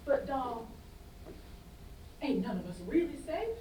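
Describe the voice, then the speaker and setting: A woman's voice: high voice, very animated, takes it slowly, mellow, full character voice. A woman playing a worldly, cynical, hard-bitten Creole woman in a staged play reading, speaking bluntly and knowingly in vernacular. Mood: urging, blunt. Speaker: student actor in a staged reading. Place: indoor festival staged reading. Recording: echoey room, distant mic, faint noise.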